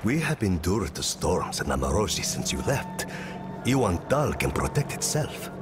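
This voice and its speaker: An actor playing a caring, worried island villager in a video game, solemn and heartfelt, with music behind. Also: male voice, deep voice, big pitch swings, moderate pace. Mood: worried, tender. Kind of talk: video game character acting. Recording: loud game music underneath.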